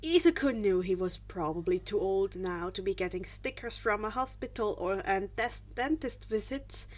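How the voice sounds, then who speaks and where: A woman's voice: mid-range voice, expressive, normal speed, a little mellow. A woman narrating fan fiction as an amateur audiobook reader, reading from a script with the odd stumble.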